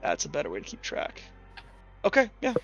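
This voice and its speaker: A man's voice: a little high, huge pitch swings, fairly quick, gravelly, a bit bright, lo-fi sound, soft background music. A man casually narrating a tabletop roleplaying game as game master, thinking aloud and correcting himself as he goes.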